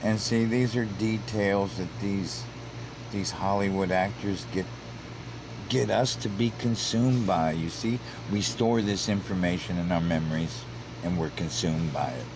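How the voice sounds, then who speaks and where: Man, low voice, casual commentary read off a screen, stumbling restarts, hum of noise behind. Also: a little slow. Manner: repeats words and restarts, grows heated and emphatic. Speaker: commentator reading online comments.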